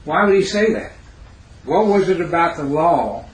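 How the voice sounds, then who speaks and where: A man with a raspy, hoarse voice teaching a Bible class, pausing to quiz his students. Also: fairly high pitch, expressive. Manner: halting, questioning teacher who pauses to quiz the class. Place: classroom, indoors. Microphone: recorder set near the speaker. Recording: roomy classroom recording, faint noise.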